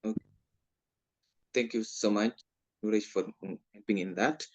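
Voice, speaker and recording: Low-voiced man moderating an online workshop. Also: fairly even intonation, moderate pace, no echo. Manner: courteous, introduces speakers. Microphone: video call.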